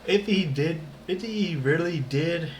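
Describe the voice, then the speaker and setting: A man giving casual, halting reaction commentary as he streams a game. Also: on the high side, slight background noise. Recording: game audio and music behind.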